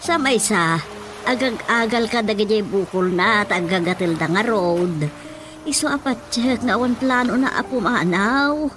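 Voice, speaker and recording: A woman's voice: mid-range voice, animated, unhurried, background noise, lightly acted. A woman playing a practical, reassuring mother from a village in a radio drama. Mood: concerned. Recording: music bed under voice. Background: music.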